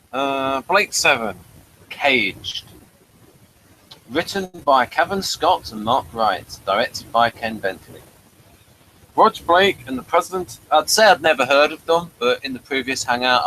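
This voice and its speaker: A man's voice: mid-range voice, animated, unhurried, bright, forceful tone, slight background noise, low-quality recording. A man hosting a casual review chat, rambling along with jokey asides. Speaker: host of an audio drama review show.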